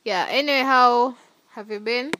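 A woman co-hosting a casual chat, relaxed and teasing, with soft laughter. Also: a little high, moderate pace, dry recording, rough recording. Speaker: podcast co-host.

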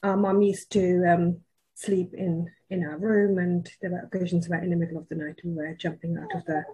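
A woman from the Republic, a guest sharing her childhood story in halting phrases broken by many ums. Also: fairly low pitch, little pitch movement, mellow, slightly rough, rough recording. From Czech Republic. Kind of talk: personal testimony in a podcast.

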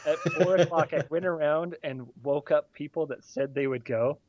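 A man's voice: fairly high pitch, normal speed, darkish tone, no echo. Guy telling old college stories, chuckles as he goes, dead dry kinda muffled audio. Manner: chatty storyteller, laughs mid-sentence. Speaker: podcast co-host asking questions. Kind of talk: casual chat.

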